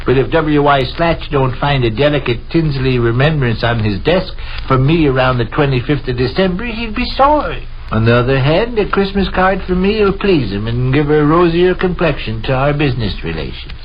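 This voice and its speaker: A man's voice: fairly high pitch, animated, moderate pace, few pauses, close to an everyday voice. A man playing an exasperated, wordy, stuffy American bookkeeper husband in a 1930s radio comedy. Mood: exasperated, pompous. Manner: pompous, wordy, indignant. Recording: dull, noisy 1930s radio recording.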